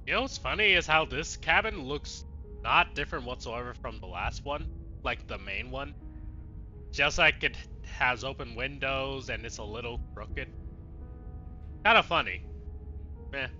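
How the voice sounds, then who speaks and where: A man's voice: medium pitch, expressive, measured pace, pauses often, pushed and bright. A man doing casual let's play commentary, irreverent and sweary, chatting away to himself as he goes. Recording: dull lo-fi mic, faint music. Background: faint game music.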